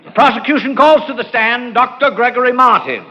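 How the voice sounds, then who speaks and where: Accusing male prosecutor, high-pitched, formal and theatrical, vintage radio courtroom drama with dull sound. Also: brisk, sharp tone. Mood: solemn, insistent. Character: stern, accusing courtroom prosecutor, grown man. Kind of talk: 1940s radio drama acting. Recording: muffled old radio recording.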